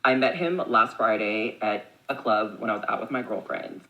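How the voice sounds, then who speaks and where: A man's voice: on the low side, normal speed, muffled recording. A man chatting candidly to camera, telling a dating story in a casual way. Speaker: clip speaker.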